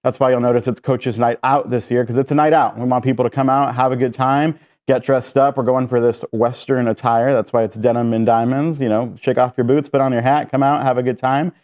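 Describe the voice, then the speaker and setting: A man's voice: medium pitch, steady tone, brisk, darkish tone. A man, a guest on a sports radio show, upbeat and promotional about a fundraiser. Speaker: guest, alumni club president-elect.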